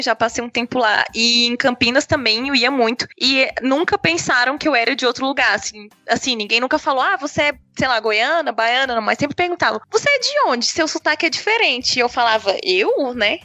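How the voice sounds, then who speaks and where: A woman's voice: fairly high pitch, pushed and bright, dry recording. A woman with a Brasília accent, chatting casually as a podcast guest, rambling with lots of fillers. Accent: Brasília Portuguese. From Brasília, Brazil. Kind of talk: casual chat.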